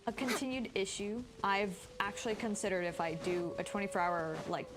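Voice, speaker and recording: A woman's voice: mid-range voice, steady tone, brisk. A woman chatting and thinking aloud as she gives casual live commentary on a game, sounding a bit tired. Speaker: game streamer. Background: game music.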